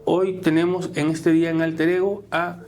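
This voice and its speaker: A man's voice: on the high side, flat delivery, normal speed, a little mellow, soft background music. A man hosting an interview, who interjects often and restarts his questions with stammers. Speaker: interview host. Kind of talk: conversational interviewing.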